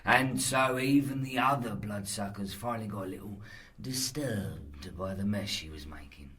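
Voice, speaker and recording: An actor playing a coarse, lecherous, gluttonous castle guard with a sardonic, earthy delivery in an audio horror drama. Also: male voice, lowish voice, moderate pace, a bit bright, natural voice. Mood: sardonic, grim.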